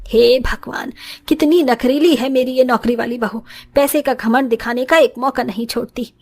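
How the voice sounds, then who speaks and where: An actress plays a middle-aged Indian mother-in-law in a family cartoon. Her voice is somewhat high, and she talks in grumbles and exclamations like a put-upon matriarch. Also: fairly even intonation, normal speed, a little mellow. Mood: grumbling. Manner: muttering complaints, exclaiming. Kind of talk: moral story cartoon acting.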